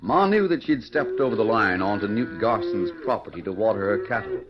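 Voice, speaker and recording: A man's voice: fairly low pitch, expressive, a little fast. A man with an American accent narrating a radio western in a grand, dramatic 1940s announcer style. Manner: grand, theatrical. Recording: muffled old radio broadcast recording.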